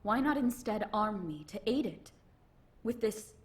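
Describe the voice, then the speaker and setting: A woman voicing a proud, solemn warrior from another era in a video game, with formal, measured phrasing. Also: mid-range voice, lively intonation, close to an everyday voice. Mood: formal persuasion.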